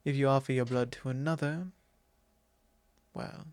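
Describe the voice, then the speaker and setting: Man playing a measured, haughty vampire lord, close and dry. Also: mid-range voice, fairly even intonation, a little mellow, no echo, close to an everyday voice. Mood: possessive, stern. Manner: measured, formal, haughty, pausing often. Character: possessive, genteel male vampire lord, commanding and self-assured. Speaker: ASMR roleplay performer. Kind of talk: ASMR roleplay monologue addressed to the listener.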